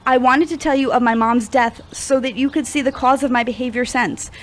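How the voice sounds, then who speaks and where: A woman acting as a troubled young woman in an audio drama. Her voice sits fairly high and she speaks earnestly, as if confessing something painful. There is some noise behind her. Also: steady tone, fairly quick, lightly acted. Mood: pained, confessing. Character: troubled young woman opening up about past grief.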